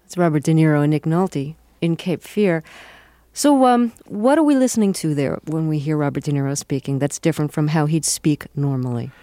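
A woman interviewer asking measured, clear questions in a radio interview. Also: deep voice, dark tone, no room sound.

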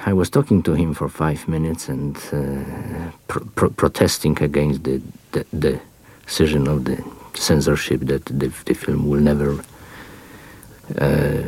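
Super deep slow polish-accented guy, lots of uhs and restarts, dry sound. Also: little pitch movement, a little mellow, breathy edge. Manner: halting, many fillers and repeated starts. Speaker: interview guest. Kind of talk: unscripted interview answers.

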